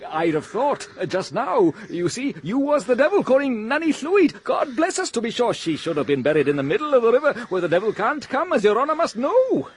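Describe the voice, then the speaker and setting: High sing-songy welsh gravedigger, superstitious, rambles on, bit of room noise. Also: male voice, sing-song, brisk, hardly any pauses. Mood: rambling. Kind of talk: 1980s radio drama acting.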